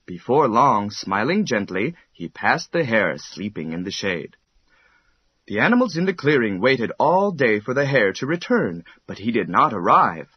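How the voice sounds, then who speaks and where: A man narrating a children's bedtime story in an expressive, measured storytelling manner. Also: mid-range voice, slightly rough, no room sound, dull audio.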